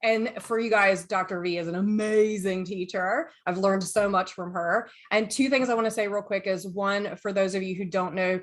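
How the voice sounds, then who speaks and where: A woman's voice: mid-range voice, moderate pace, hardly any pauses. Chatty American woman hosting a podcast. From United States. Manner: chatty, enthusiastic, lively asides. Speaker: podcast host. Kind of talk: casual podcast chat, reading notes.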